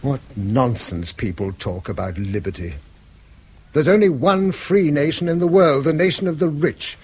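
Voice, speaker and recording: A man's voice: fairly high pitch, lively intonation, moderate pace, breathy edge. A man acting a weary, bitter middle-aged schoolmaster and father in a British radio drama, speaking eloquently and self-reproachfully in a British accent. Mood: weary, despairing. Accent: British English. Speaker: actor.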